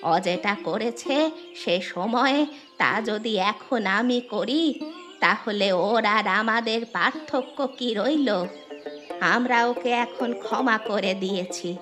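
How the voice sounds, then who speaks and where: A woman's voice: fairly high pitch, slow pace. A woman voicing a kind, plain-spoken old village grandmother in a fairy-tale cartoon. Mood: calm, forgiving. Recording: music behind the voice.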